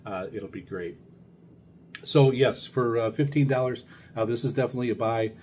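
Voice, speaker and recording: A middle-aged man giving a casual, unscripted product review in a halting, rambling way. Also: medium pitch. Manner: halting, rambling, hesitant delivery. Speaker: radio hobbyist reviewer, show host. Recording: clear steady background noise.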